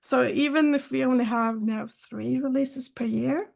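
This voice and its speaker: A woman's voice: sits high, fairly even intonation, normal speed, vocal fry, a little mellow. A woman guest on a podcast, not a native English speaker, explaining her work thoughtfully and at length. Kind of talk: casual technical chat.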